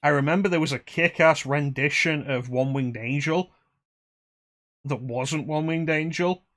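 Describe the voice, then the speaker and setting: A man's voice: a little high, measured pace, fairly bright tone. A young man hosting a game stream, chatting casually in a rambling, self-deprecating way. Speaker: streamer host. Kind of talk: casual chat while gaming.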